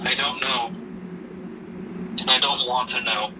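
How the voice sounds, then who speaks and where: Grieving widower dad played by a man, very slow and flat, gentle and halting, audio drama, noisy distant recording. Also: lo-fi sound, close to an everyday voice. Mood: grieving, subdued. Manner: halting, subdued, measured delivery. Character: grieving widowed father gently counseling his daughter. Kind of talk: amateur audio drama acting. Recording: noisy rumbling background, distant mic.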